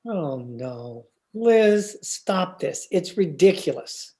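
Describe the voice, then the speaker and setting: A long-suffering husband from a radio comedy, played by a man. He is dry and exasperated, with a mellow tone and unhurried speech. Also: a little high, very animated. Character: weary, exasperated husband, mild-mannered. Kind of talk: old-time radio comedy acting.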